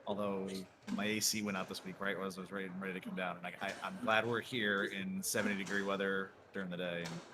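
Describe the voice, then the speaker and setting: A man in his thirties calls table soccer in a relaxed, chatty play-by-play. His voice sits low. Steady crowd noise from the tournament hall can be heard behind him. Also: fast, hardly any pauses. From Connecticut, US. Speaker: commentator. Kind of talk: live table soccer commentary. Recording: live venue noise behind.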